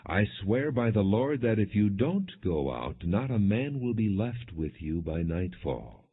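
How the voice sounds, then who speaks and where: A man's voice: on the low side, very animated, a little slow, breathy edge, heavily acted. A man voicing a blunt, stern army commander in an audiobook scripture reading, firm and admonishing. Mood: stern rebuke. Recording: dull, lo-fi narrow-band audio.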